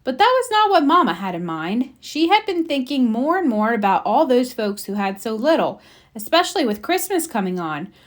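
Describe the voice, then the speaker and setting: A woman reading a children's storybook aloud, with expressive, emphatic narration for young listeners. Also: on the high side, moderate pace. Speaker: storybook reader.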